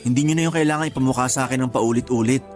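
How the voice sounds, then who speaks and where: A man's voice: mid-range voice, racing, faint music behind, close to an everyday voice. An actor playing a stubborn, principled bachelor in his thirties with a Filipino accent, answering defensively in a radio drama. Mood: annoyed. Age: 30s. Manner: defensive, terse, irritated replies. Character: stubborn, principled 31-year-old bachelor, homebody son.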